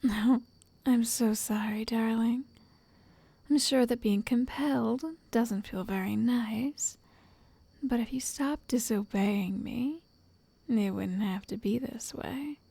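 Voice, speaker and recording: A woman playing a possessive, lovestruck witch who coaxes and controls in a soft, breathy, often whispered ASMR roleplay voice. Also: fairly high pitch, no echo, exaggerated voice. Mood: gently commanding. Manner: slow, tender, condescending endearments.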